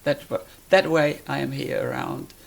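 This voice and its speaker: An elderly woman from Poland with a Polish accent, recalling her life in a halting, deliberate way as she is interviewed. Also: extremely low pitch, steady tone, gravelly. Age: 80s or older. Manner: halting, deliberate, searching for words. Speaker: interviewee, life-story witness. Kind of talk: personal reminiscence in an interview.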